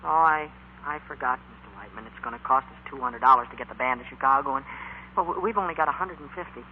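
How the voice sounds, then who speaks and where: A man's voice: mid-range voice, quick pace, close to an everyday voice. An American actor playing an eager, earnest teenage boy bandleader in a 1940s radio drama, with stammering hesitations. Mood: earnest, pleading. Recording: muffled, noisy 1940s radio recording.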